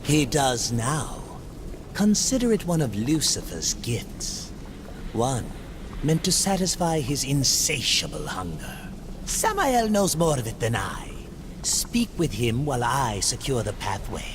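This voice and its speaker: Game creature: greedy demon merchant, theatrical, extremely animated intonation, drawn-out words, noisy ambience behind. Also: male voice, medium pitch. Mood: cryptic. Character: scheming otherworldly demon merchant, male, greedy and cunning. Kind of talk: dramatic video game cutscene acting.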